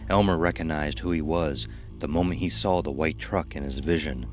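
A man tells a scripted horror tale in an extremely deep voice. Music plays clearly beneath him. His delivery is measured and dramatic, phrase by deliberate phrase. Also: steady tone. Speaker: narrator of a horror anthology. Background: music under the voice.

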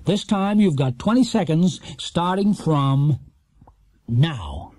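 A man playing a smug male quiz show master of ceremonies in a children's storybook, with a drawn-out showman's delivery. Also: a little high, expressive, darkish tone, no echo. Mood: solemn.

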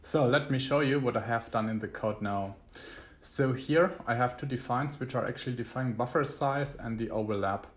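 A young man, not a native English speaker, giving a halting, explanatory screencast tutorial. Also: medium pitch. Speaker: electronics tutorial host.

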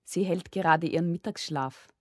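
A woman's voice: on the low side, normal speed, no echo, studio quality, natural voice. A woman voicing an earnest, easily offended little girl in a storybook, plaintive and insistent. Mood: worried.

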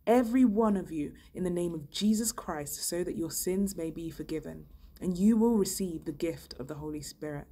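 A woman's voice: on the low side, moderate pace, mellow. A young woman reading scripture aloud, recorded indoors at arm's length. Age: young adult. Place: indoor room.

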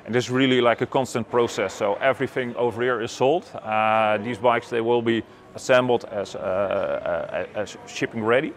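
A man's voice: medium pitch, moderate pace, few pauses, slight background noise. A middle-aged man with a Dutch accent, a native Dutch speaker, giving a factory tour and answering questions with many fillers and restarts. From Netherlands. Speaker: company executive. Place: warehouse then glass-walled office.

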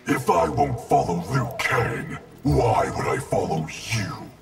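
A man voicing a grim, proud wraith ninja in a video game, gravelly and slowly threatening. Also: lowish voice, very animated, full character voice. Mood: impatient contempt. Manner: slow, deliberate, threatening delivery. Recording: music playing behind.

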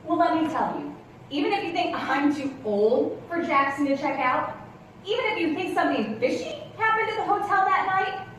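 Casting: woman playing veteran female cop, middle-aged, high swooping voice, defensive and patronizing, heard across an echoey theatre. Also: lively intonation, normal speed, fairly bright tone. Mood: defensive, indignant. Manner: patronizing, calls people darling and hun. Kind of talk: live stage play acting. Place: theatre stage with rows of seats. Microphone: distant camera. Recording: echoey theatre stage from far off, noisy.

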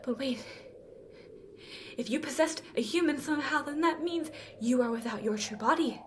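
Woman acting a high-voiced, haughty siren empress. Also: normal speed, a little hiss or hum, close to an everyday voice. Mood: scheming. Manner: imperious, theatrical, formal. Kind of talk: fantasy horror audio drama.

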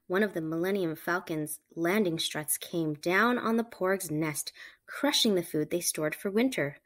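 A woman reading a picture book aloud to children, with animated, emphatic storytelling delivery. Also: fairly low pitch. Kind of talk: expressive read-aloud narration for children.

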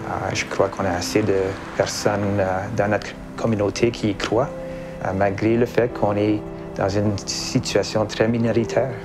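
A man's voice: fairly low pitch, little pitch movement, moderate pace, music in the background. A man with a Canadian French accent speaking in an interview, repeating phrases as he gathers his thoughts. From Canadian Prairies. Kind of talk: documentary interview.

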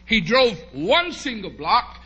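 A middle-aged American man preaching, telling a humorous story to a congregation in an animated way with drawn-out emphasis. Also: sits high, breathy edge, rough recording. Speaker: preacher, bishop. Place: large church hall, at a pulpit before a congregation. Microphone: podium microphone on a stand.

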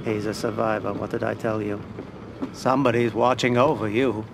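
Elderly creaky-voiced mob schemer. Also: male voice, on the low side, flat delivery. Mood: calm, persuasive. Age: older. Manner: measured, persuasive. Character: elderly calm scheming mob financier, persuasive. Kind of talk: video game cutscene acting. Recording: noisy outdoor waterside ambience.

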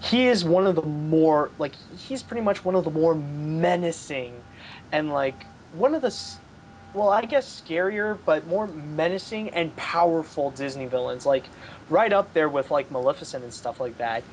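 A man's voice: on the high side, expressive, normal speed, darkish tone, a little hiss or hum, rough recording. A young man hosting a casual film discussion over a video call from his home room, rambling enthusiastically with lots of "like" and "pretty much". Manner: enthusiastic, gestures while talking. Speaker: podcast host. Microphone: headset on a video call.

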